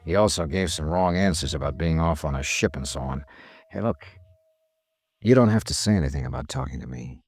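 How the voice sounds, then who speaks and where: An actor playing a tough, sardonic insurance investigator with an American accent, brisk and assertive, in 1950s radio drama style. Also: male voice, extremely low pitch, lively intonation, darkish tone, slightly rough, exaggerated voice. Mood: probing, wry. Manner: brisk, hard-boiled, assertive. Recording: restored old radio broadcast.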